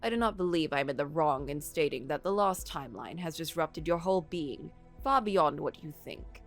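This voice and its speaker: A woman's voice: deep voice, expressive, soft background music, voice pushed down. Woman playing a formal, menacing fairy godmother. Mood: grave. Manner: formal, measured phrasing.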